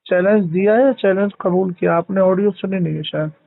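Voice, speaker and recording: A man's voice: high-pitched, a little fast, gravelly. A man in a phone debate, arguing formally and insistently, repeating his points and pressing on over objections. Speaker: religious scholar. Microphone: phone call. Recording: narrow, dull phone-call audio.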